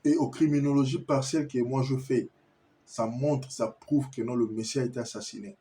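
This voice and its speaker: Congolese French man, mellow and warm tone, insistent and repetitive, stumbles over words. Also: mid-range voice, steady tone, normal speed, a little hiss or hum. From Kinshasa, Congo. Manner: insistent, repetitive, stammers when searching for words. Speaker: commentator addressing viewers. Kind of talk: impassioned video monologue.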